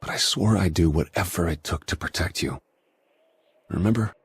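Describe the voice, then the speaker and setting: An actor playing an earnest undercover spy in a video game, husky-voiced, urgent and pleading. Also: male voice, low voice, moderate pace, no echo, exaggerated voice. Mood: pleading, heartfelt. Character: earnest male spy working undercover among traitors. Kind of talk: dramatic video game acting.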